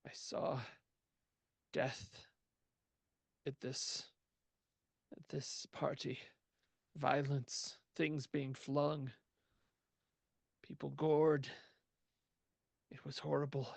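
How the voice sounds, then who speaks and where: Guy doing a flat spooky mystic dude, slow and halting, dry sound. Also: mid-range voice, gravelly, dry recording, pitched above the performer's own voice, heavily acted. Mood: flat, haunted. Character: haunted male mystic, flat and distant.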